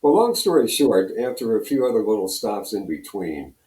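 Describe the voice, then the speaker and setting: Deep, mellow older American man, roomy sound. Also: very animated, normal speed. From Pennsylvania, US. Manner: rambling, conversational, repeats you know. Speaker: radio program director and personality, interview guest. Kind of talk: casual storytelling in interview. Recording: roomy echo, distant from mic.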